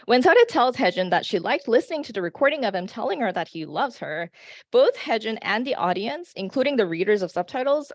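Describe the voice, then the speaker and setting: A woman presenting an academic paper at a conference, reading in a measured way with frequent "uh" fillers and restarts. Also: mid-range voice, no room sound. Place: conference session.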